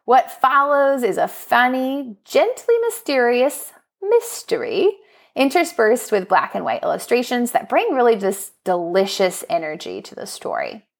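A woman presenting book recommendations as a podcast host, speaking in an enthusiastic, expressive, prepared narrating style. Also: on the high side, measured pace, gravelly. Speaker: school librarian.

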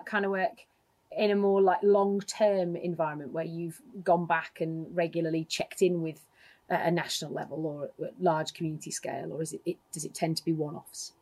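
A woman's voice: on the low side, darkish tone. A woman interviewing guests with curious, probing questions.

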